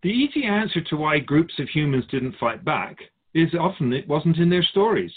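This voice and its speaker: Radio drama spirit guide, acted by a man: wry, didactic sage, husky and lively in pitch, narrow telephone-like sound. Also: normal speed. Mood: measured, instructive. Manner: didactic, wry, formal phrasing. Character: Keeper of Souls, wise, wry otherworldly male spirit guide. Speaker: spirit teacher answering questions. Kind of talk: scripted radio drama dialogue, expository.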